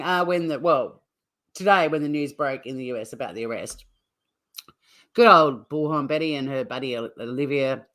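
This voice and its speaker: A woman's voice: low-pitched, a bit bright. A woman hosting a casual livestream, chatting to viewers in an informal, teasing way. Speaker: livestream host.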